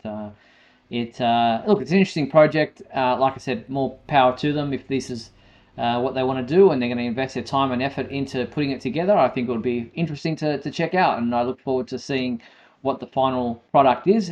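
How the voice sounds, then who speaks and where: A man presents entertainment news as a show host. His voice is medium-pitched, and he talks conversationally with a halting delivery. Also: a little mellow. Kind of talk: semi-scripted fan news presenting.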